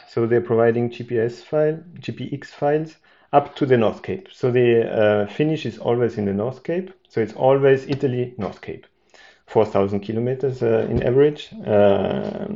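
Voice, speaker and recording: A man's voice: medium pitch, moderate pace, gravelly, mellow, highs cut off. A man, a podcast guest who talks hesitantly with many fillers and restarts. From Austria.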